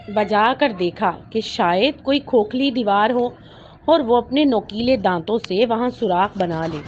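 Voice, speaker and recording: A woman's voice: medium pitch, darkish tone. Woman storyteller, dull noisy recording. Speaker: children's story narrator. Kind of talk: scripted storytelling narration for children. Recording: dull lo-fi audio with clear noise.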